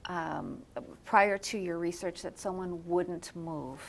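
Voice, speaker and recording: Woman, interview host, slightly low voice, attentive, conversational questions, short acknowledgements. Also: slow, vocal fry. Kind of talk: studio-style interview questions.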